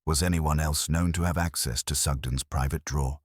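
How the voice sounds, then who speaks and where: A man voicing a calm, shrewd male police inspector in a detective audiobook, quiet, deliberate and probing. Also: extremely low pitch, husky, dry recording, studio quality. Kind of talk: audiobook detective story dialogue.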